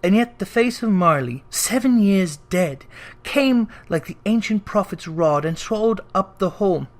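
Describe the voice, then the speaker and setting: A narrator reading a classic story aloud in an expressive, theatrical way, with deliberate, drawn-out emphasis on phrases. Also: medium pitch. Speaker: narrator, audiobook reader.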